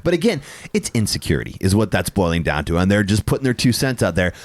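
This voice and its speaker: A middle-aged man hosting a casual podcast, telling animated stories, laughing often and swearing casually. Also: fairly low pitch, quick pace. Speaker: podcast host.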